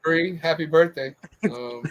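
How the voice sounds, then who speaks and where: A man's voice: fairly high pitch, a little slow, rough recording. A man bantering casually and teasingly in a group chat.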